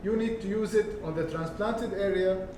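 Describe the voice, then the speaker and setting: A man whose first language is Arabic, explaining a hair care product in English, halting, with many fillers and restarts. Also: high-pitched, dark tone, a little hiss or hum. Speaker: hair transplant consultant. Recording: echoey room, voice from far off.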